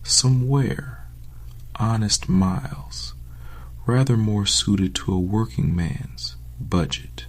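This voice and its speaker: A man's voice: on the low side, takes it slowly. A man playing a snooty, condescending car salesman with stiffly formal speech in an audiobook drama. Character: snooty, pressed and pomaded male car salesman. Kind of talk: audiobook drama character acting.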